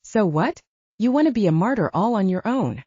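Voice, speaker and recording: A woman's voice: mid-range voice, very animated, moderate pace, warm tone, husky, no echo, dull audio, pitched above the performer's own voice. A woman voicing a defiant, insistent young werewolf girlfriend in an audiobook. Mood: scoffing. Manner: scoffing, pointed, insistent.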